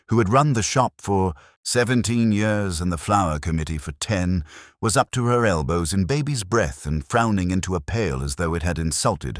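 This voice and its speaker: A man's voice: deep voice, no echo. A man narrating a cosy mystery story from a script in a dry, wry manner.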